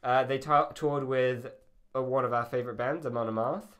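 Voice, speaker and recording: A man's voice: mid-range voice, steady tone, a little fast. A man hosting a podcast in a casual, rambling and self-deprecating way. His voice sounds slightly feminine. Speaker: podcast host. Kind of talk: casual chat.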